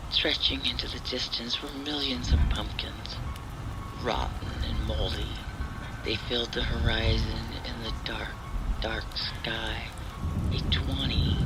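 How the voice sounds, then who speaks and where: A man narrating a scripted spooky story in an atmospheric, hushed and deliberate way. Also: mid-range voice. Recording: steady rain and thunder noise. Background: rain and thunder ambience.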